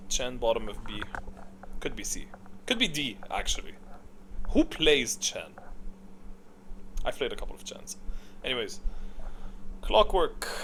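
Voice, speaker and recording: A man's voice: medium pitch, a bit bright, slight background noise. A man giving blunt, casual, rambling commentary on game characters, thinking aloud and second-guessing himself. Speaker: gaming content creator.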